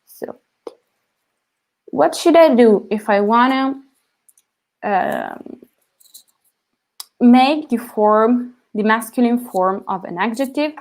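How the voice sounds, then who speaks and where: A young woman with an Italian accent, a native Italian speaker, teaching a language lesson and checking in with "okay?" after each point. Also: mid-range voice. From Italy.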